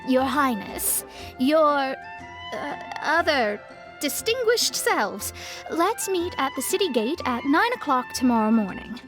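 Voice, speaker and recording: A woman's voice: high voice, big pitch swings, slow pace, over music, full character voice, poor audio. A woman voicing a wry, put-upon young astrologer in a video game, with a dry, reluctant manner. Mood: weary, appeasing. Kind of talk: video game cutscene acting.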